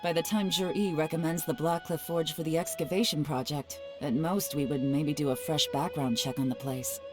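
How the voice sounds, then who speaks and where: A woman voicing a shrewd, secretive female spy who speaks in a calm, measured, analytical way, in a game with music under her lines. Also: low voice, lightly acted. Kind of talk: game voice acting.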